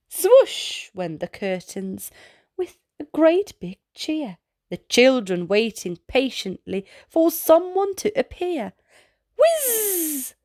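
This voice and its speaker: High pitched lady reading a kids picture book out loud, really slow and deliberate, super expressive. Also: dry recording, studio quality. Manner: animated, with exclaimed sound-effect words; slow, deliberate delivery for young children. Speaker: children's storytime reader and host. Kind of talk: expressive read-aloud picture book narration.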